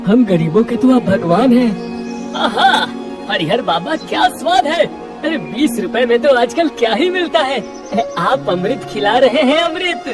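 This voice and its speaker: Woman playing a wheedling cartoon villager, effusive, very animated intonation, music in background. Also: fairly high pitch, a little slow, far from the mic, voice pushed up. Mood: gushing.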